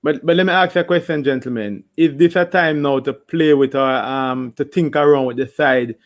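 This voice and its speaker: A man's voice: medium pitch, moderate pace. A man hosting a football watch-along stream, asking his panel questions and reading viewer comments, often restarting his words. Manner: animated, stammering restarts, reads viewer comments. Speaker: host. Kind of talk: casual watch-along chat.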